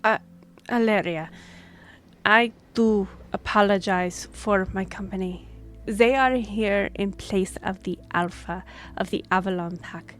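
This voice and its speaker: A woman's voice: mid-range voice, fairly bright tone, soft background music. A woman playing a formal, courteous and firm half-elf envoy in a fantasy audio drama. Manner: formal, measured, diplomatic. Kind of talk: scripted fantasy audio roleplay.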